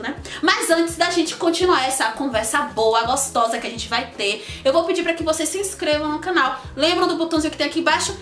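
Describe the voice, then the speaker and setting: Woman in her twenties, high voice, talkative vlogger, echoey room, faint music behind. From Salvador, Bahia, Brazil. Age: 20s. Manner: chatty, animated, addresses viewers directly. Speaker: YouTube channel host. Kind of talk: casual personal storytelling to camera.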